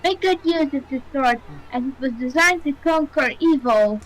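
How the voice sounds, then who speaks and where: A woman's voice: high voice, animated, normal speed, low-quality recording. A woman voicing a courtly, helpful male brush god as she dubs a game scene aloud.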